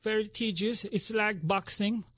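A man's voice: high voice, steady tone, measured pace, a bit bright, no echo, studio quality. A man in his forties from the Philippines, a ballet director speaking earnestly as an interview guest, with frequent restarts. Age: 40s. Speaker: ballet school artistic director.

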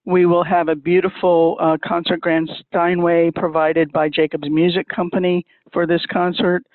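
A man speaking for a music school, explaining plans in a measured way with many pauses. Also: a little high, little pitch movement, no room sound. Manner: measured, pausing with many uhs. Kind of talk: casual explanation. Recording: dry, tinny phone line.

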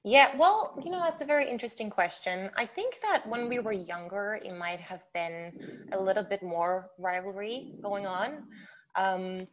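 A woman's voice: medium pitch, expressive, moderate pace. A woman from Norway, a pianist guest, chatting casually about her life with lots of fillers. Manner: chatty, warm storytelling with frequent fillers. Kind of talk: casual interview chat. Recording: muffled remote line with faint hiss.

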